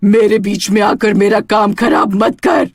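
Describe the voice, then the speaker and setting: A woman voicing a menacing, commanding witch who craves youth and power in an animated horror story. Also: mid-range voice, a little slow, slightly rough, no echo, clean studio sound. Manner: threatening, commanding.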